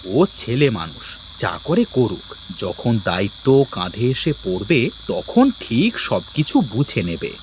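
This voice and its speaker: A man's voice: mid-range voice, big pitch swings, slow pace, a little mellow, a little hiss or hum. A man playing an easygoing, reassuring husband in a cartoon family drama. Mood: soothing.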